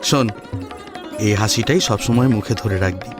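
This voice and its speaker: A man voicing a caring, excitable young male friend in an audio story, affectionate and gently urging. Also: on the low side, moderate pace, over music, pitched below the performer's own voice, close to an everyday voice. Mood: tender, urging.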